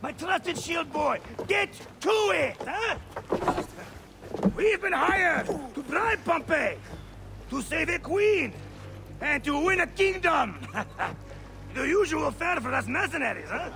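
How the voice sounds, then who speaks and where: An actor playing a roguish, jovial mercenary ship captain of antiquity in a video game, swaggering and barking. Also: male voice, very high-pitched, lively intonation, slow, vocal fry, long pauses, over music, full character voice. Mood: bossy, amused. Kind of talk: theatrical video game acting. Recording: noisy, with boat sounds behind.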